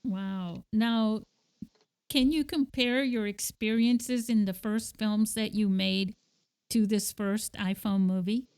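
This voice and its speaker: Lady podcast host, friendly questions, nice smooth clear voice. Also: medium pitch, fairly even intonation, slow, darkish tone. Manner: friendly, laughs along, asks short follow-ups. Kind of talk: casual interview questions.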